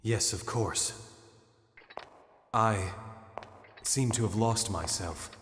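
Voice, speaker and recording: An actor playing a proud, noble warrior in a game cutscene, speaking formally and deliberately, earnest and self-reproaching. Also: male voice, lowish voice, a little hiss or hum, heavily acted. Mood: ashamed, solemn. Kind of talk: dubbed game cutscene acting. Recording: echoey long reverb under loud music.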